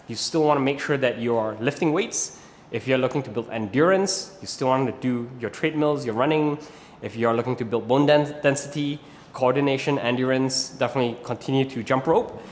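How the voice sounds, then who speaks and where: Malaysian-accented man, thirties, rope flow instructor, patient explanatory tone, long reverb, faint background hiss. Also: medium pitch. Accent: Malaysian English. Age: 30s. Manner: patient, explanatory, often ends points with "right?". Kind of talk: instructional video talk.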